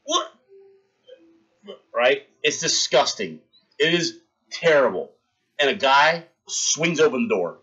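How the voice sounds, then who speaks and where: A man in his thirties tells a story to his live stream chat with lots of energy, acting out each scene as he goes. His pitch stays in a middle register. The audio is a little dull, like a basic stream mic. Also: takes it slowly, pauses often, slightly rough. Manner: animated, acts out scenes, talks to chat.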